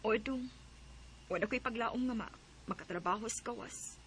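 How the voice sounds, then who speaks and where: Young Cebuano girlfriend played by a woman, caring and practical, high bright voice, radio drama. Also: moderate pace, slight background noise, lightly acted. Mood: resigned, plaintive. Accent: Filipino, Cebuano.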